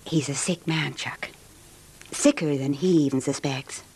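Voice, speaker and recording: An actress playing a scheming, unfaithful wife, tender and intimate, in old-time radio melodrama style. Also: female voice, extremely low pitch, unhurried, darkish tone, husky, exaggerated voice. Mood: cold, intimate. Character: scheming adulterous wife, tender, yearning and coolly calculating.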